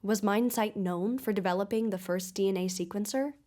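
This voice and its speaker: An actress playing a measured, skeptical investigative podcast host in a scripted audio drama. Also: female voice, medium pitch, dark tone, lightly acted. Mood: inquisitive. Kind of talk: scripted investigative narration and interview.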